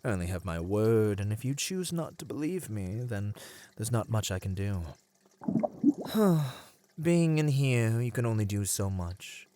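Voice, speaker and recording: A man playing a captive merman in an ASMR roleplay, charming, plaintive and slyly persuasive, speaking gently in formal, wistful phrases. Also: on the low side. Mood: resigned, plaintive.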